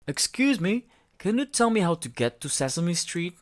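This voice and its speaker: A woman's voice: lowish voice, very animated, normal speed, voice pushed up. A woman voicing a polite, flustered male monster salesman with a fussy, over-courteous manner.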